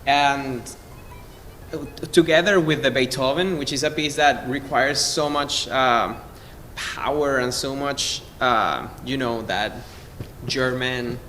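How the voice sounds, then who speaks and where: A man from Venezuela, a cellist, introducing music informally in an effusive, halting way as he searches for words. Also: mid-range voice, pushed and bright. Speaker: cellist in a string quartet. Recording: noisy, long hall reverb.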